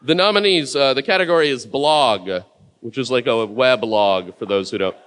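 A man's voice: medium pitch, normal speed, fairly bright tone. A man hosting a live awards show from a mic in a big room, offhand, joking and swearing between nominees.

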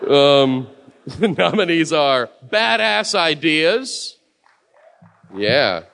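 A man's voice: a little high, sing-song, very slow, vocal fry, a bit bright. A man hosting a live awards show from a mic in a big room, offhand, joking and swearing between nominees.

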